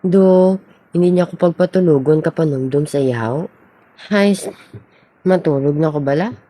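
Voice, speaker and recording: A woman's voice: low voice, moderate pace, warm tone. A young woman playing an earnest, lovestruck schoolboy in an amateur radio drama. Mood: smitten. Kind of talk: amateur radio drama acting in Filipino regional language.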